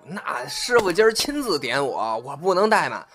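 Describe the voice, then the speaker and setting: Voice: mid-range voice, very animated, moderate pace, fairly bright tone. A young adult actor playing a cheeky, eager novice apprentice in a Chinese audio drama.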